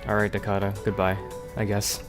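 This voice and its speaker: Low-voiced man grumbling over loud music. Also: completely flat delivery, a little fast. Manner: profane, exasperated grumbling. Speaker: video game livestreamer. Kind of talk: casual gaming commentary, muttering to himself.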